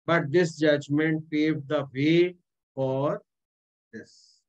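A male law lecturer with an Indian English accent, pitched a touch higher than most men. He speaks emphatically and keeps circling back to repeat key points and dates. The sound is dull and lo-fi. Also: steady tone, very slow, darkish tone. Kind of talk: exam-prep lecture. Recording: dull lo-fi recording.

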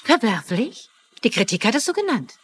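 A woman's voice: a little high, sing-song, normal speed, slight background noise. An actress playing a coy, shameless woman writer of scandalous books in a dubbed TV crime drama. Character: coquettish, shameless female romance author under suspicion.

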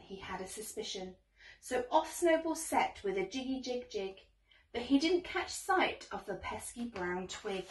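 Young female storyteller, higher voice, expressive rhyming read-aloud, recorded at a distance in a roomy space. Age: young adult. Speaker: teacher reading a children's story. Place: small bedroom, indoors. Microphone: camera a few metres away. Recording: roomy echo, distant microphone.

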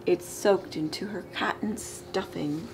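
A middle-aged woman reading a children's picture book aloud with expressive, emphasized storybook delivery. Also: lowish voice, slow. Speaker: storytime reader. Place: living room, indoors. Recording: clear background room noise.

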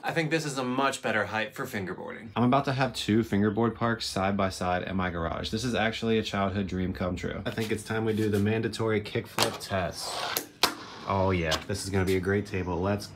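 A man's voice: on the low side, steady tone, a little fast, very noisy. A young American man giving a casual, enthusiastic video tour as a hobby vlogger, recorded in a garage. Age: young adult. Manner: enthusiastic, informal. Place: garage, indoors.

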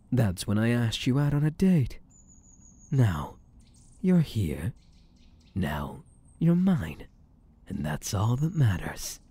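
A man's voice: medium pitch, mellow, no room sound, heavily acted. A man playing a smug, possessive mafia boss in an intimate roleplay, flirtatious and menacing, with a teasing, commanding manner. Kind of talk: intimate ASMR roleplay acting.

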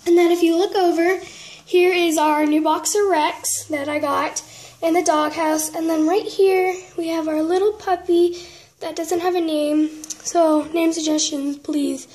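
A woman giving a casual show-and-tell narration in a halting way, often trailing off and correcting herself. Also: very high-pitched, steady tone, darkish tone. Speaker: vlogger giving a tour of a toy horse barn. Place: indoors at home, room with wooden floor. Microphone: handheld camera, speaker behind it.

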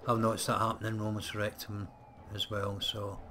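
A man's voice: on the low side, completely flat delivery, a little fast, background noise. A man casually commentating while playing a strategy game, chatty and muttering to himself, on a close desk mic. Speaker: let's play gamer. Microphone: desk mic set close.

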